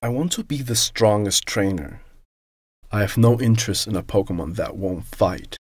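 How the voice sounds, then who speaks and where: A man's voice: fairly low pitch, lively intonation, moderate pace, a little mellow, a little hiss or hum, close to an everyday voice. A man voicing a cold, proud young male rival trainer in a curt, dismissive manner, in dramatic anime style.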